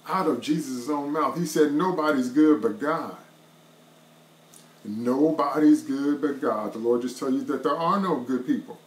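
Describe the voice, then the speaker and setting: Middle-aged guy preaching into his webcam, emphatic and repetitive, kinda roomy echo in a small wood room. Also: mid-range voice. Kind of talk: online devotional sermon.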